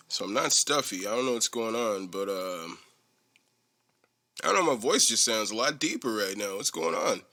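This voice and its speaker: A young man hosts a solo podcast, rambling through stories with plenty of swearing. His voice is bright and forceful, and his delivery is animated and casual. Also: on the low side. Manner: rambling, profane, animated storytelling. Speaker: podcast host.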